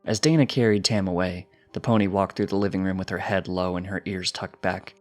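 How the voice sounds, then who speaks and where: A man narrates fiction from a script in a fairly low voice. Music plays quietly behind his reading. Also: steady tone, brisk.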